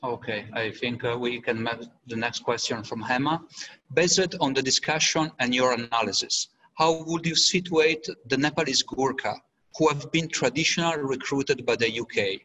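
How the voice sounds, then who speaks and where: A man's voice: medium pitch, moderate pace, pushed and bright, low-quality recording. A man with an Italian accent moderates a panel webinar. He hesitates and repeats words as he reads out questions.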